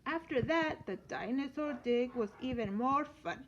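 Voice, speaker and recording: A woman with a high voice reads a picture book aloud like a schoolteacher. Her delivery is slow and expressive. The recording is somewhat dull, with a little noise underneath. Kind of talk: children's story read-aloud. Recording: dull lo-fi sound with light noise.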